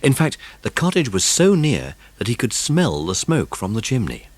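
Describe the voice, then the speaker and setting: A man's voice: mid-range voice, huge pitch swings, normal speed. A man narrating a children's mystery story from a script, as in an audiobook.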